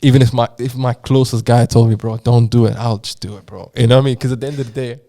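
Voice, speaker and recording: A man's voice: mid-range voice, fairly even intonation, fast talker, warm tone. A man, a musician co-hosting a podcast, chatting casually and saying "bro" constantly. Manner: laid-back, says "bro" constantly. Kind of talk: casual chat.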